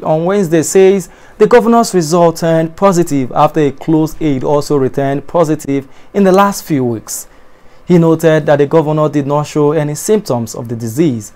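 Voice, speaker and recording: A young adult man reading a television news bulletin in a studio, formal and measured, his voice dropping at the ends of sentences. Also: on the high side, darkish tone. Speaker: newscaster. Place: TV studio in front of a backdrop.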